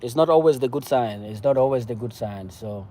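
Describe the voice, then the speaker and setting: A man from Cameroon with a Cameroonian accent, speaking off the cuff about politics in a halting, repetitive, emphatic way. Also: mid-range voice, dark tone. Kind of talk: impassioned political discussion, casual and unscripted.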